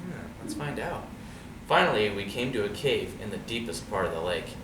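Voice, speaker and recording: A man reading a storybook aloud to young listeners in an expressive, dramatic way. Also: on the low side, moderate pace. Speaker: storybook reader. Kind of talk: animated read-aloud story with asides to children. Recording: roomy, distant mic, noisy background.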